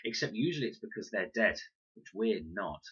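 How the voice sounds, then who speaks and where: Storybook boy explorer, read by a man: earnest, keen explainer, bright husky tone. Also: lowish voice, very animated, normal speed, dull audio, exaggerated voice. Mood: eager, absorbed.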